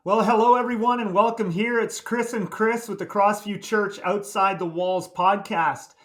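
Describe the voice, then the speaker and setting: Eager high-voiced male podcast host. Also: fairly even intonation, normal speed, fairly bright tone. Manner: chatty, eager, with frequent "uh" and restarts. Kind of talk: casual interview chat.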